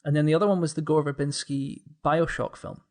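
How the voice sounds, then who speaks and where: A man's voice: on the high side, fairly even intonation, a little fast, darkish tone. A man talking as a podcast guest in a casual discussion.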